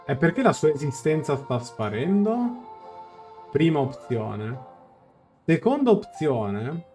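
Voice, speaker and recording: A man's voice: fairly high pitch, very animated, takes it slowly, dark tone. A young man giving casual live game commentary, thinking aloud as he explains and translates. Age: young adult. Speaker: game streamer. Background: game music.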